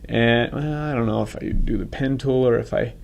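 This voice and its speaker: A man with a head cold, talking casually through a software tutorial and correcting himself as he goes. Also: mid-range voice, normal speed, vocal fry. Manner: casual, thinks aloud, self-correcting. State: congested from a cold. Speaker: software instructor. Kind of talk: screen-recorded software tutorial.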